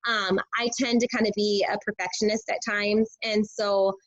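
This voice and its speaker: A woman, a teacher and fitness coach, sharing casual tips in a chatty way, full of filler words, her voice a bit hoarse and scratchy. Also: mid-range voice, little pitch movement, normal speed. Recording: dull lo-fi recording.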